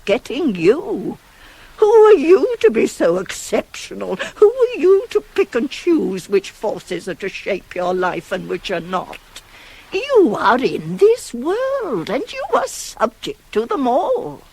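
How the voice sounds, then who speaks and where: Shrewd, persuasive nurse, swooping and deliberate. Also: female voice, on the high side, wildly swooping pitch, vocal fry, slightly rough, heavily acted, rough recording. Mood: urgent, persuasive. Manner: rhetorical, emphatic, insinuating, dropping to hushed tones. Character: older female nurse, shrewd, pragmatic, persuasive confidante of a queen. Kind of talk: 1980s radio play acting of Greek tragedy. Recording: hissy old cassette radio recording.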